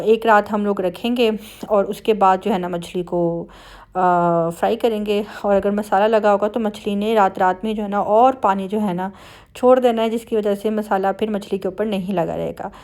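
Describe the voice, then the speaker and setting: A woman's voice: medium pitch, a little mellow. A woman with a Pakistani Punjabi accent talking in a chatty, conversational way, as in a casual family vlog voice-over. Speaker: family vlogger.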